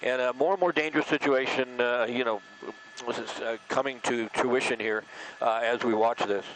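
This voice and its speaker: A man's voice: medium pitch, steady tone, moderate pace, a bit bright. An American man working as an airborne news reporter, giving conversational, explanatory play-by-play on a breaking story. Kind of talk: live breaking news commentary. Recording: noisy outdoor vehicle feed.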